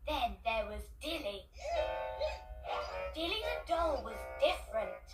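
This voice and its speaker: Female children's storyteller, very lively intonation, roomy distant sound with prominent music. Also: a little high. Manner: animated, deliberate storybook delivery. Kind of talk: expressive children's story narration. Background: loud music.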